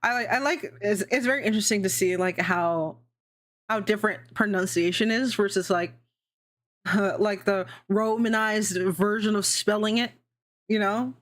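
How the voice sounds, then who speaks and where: A woman's voice: medium pitch, normal speed. A young adult woman hosting a casual livestream, chatting in a rambling way, swearing freely and laughing often. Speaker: VTuber streamer hosting a worldbuilding session.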